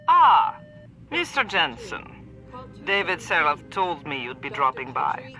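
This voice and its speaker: A clinical doctor in a video game. The voice is bright and crisp in tone, precise and genial, with faint music behind. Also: female voice, deep voice, very animated, slow, heavily acted. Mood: pleased, clinical.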